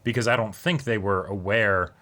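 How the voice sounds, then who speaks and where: A man's voice: fairly low pitch, moderate pace, pushed and bright. A man co-hosting a casual discussion show, talking through his analysis in an agreeable way. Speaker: co-host of an anime reaction discussion show.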